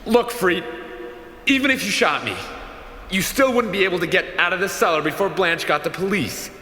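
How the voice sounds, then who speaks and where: A man's voice: sits high, animated, moderate pace, sharp tone, a little hiss or hum. A man playing a brave, devout young captive in an audio drama, earnest and reasoning as he talks. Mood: tense reasoning. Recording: echoey hall reverb.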